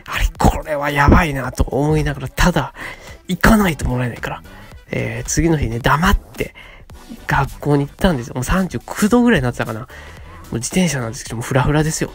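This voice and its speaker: A man of about thirty, telling stories and pitching ideas as a radio co-host in a polite, conversational way. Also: lively intonation, quick pace. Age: around 30. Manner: polite, conversational, acts out short quotes. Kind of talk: casual monologue, storytelling and pitching ideas. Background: background music.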